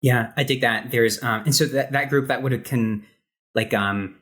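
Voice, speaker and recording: American guy interviewing on a podcast, average pitch, laid out casually, kinda halting and repeats himself while thinking. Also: fairly bright tone. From Ohio, United States. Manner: informal, halting, repeats words as he thinks aloud.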